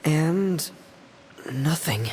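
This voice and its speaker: An actor voicing a shy, subdued teenage boy, an earnest younger brother in a video game, with a soft, hesitant manner. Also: mid-range voice, expressive, drawn-out words, a little hiss or hum. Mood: dejected.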